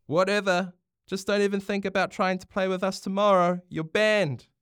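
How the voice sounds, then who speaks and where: A man voicing a big, smug, hot-tempered schoolboy bully in a storybook, loud and domineering. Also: mid-range voice, fairly even intonation, slow, clear, resonant tone, lightly acted. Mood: bossy, threatening.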